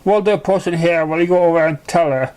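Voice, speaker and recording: A man's voice: a little high, fast talker, darkish tone, close to an everyday voice, low-quality recording. A man voicing a loyal, concerned young girl pony questioning a friend in a story reading. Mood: concerned, encouraging.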